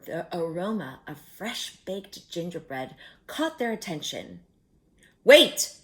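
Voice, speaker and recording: A woman reading a children's story aloud in an expressive, drawn-out way, with chatty asides to young listeners. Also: lowish voice.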